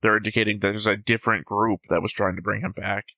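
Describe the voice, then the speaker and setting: A man's voice: on the low side, fairly even intonation, quick pace, bright, forceful tone, dry recording. A man hosting a casual discussion who methodically reads from his notes and is careful not to give anything away. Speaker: reaction show host.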